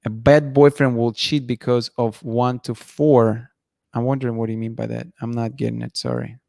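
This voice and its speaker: A man in his thirties hosting a night-time livestream, bluntly giving his opinions and reading chat comments aloud, his voice going hoarse. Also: medium pitch, flat delivery, normal speed, a little mellow, dry recording, clean studio sound. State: losing his voice, clearing throat. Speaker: livestream host. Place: dim room at night, indoors. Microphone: studio mic in front of him.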